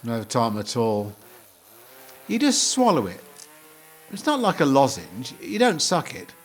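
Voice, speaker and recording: Man playing a mild, pedantic husband, lively intonation. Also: mid-range voice, measured pace, slight background noise. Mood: mild, tired. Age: middle-aged. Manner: hesitant, literal-minded, polite. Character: mild, pedantic, slow-witted middle-aged husband. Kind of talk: two-hander comic audio play dialogue.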